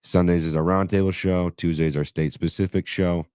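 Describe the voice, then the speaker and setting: A man hosting a podcast in brash, profane banter, his voice hoarse and raspy after being sick. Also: low-pitched, fairly even intonation, a little fast, darkish tone, no room sound, studio quality. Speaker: podcast host. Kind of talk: casual crude banter.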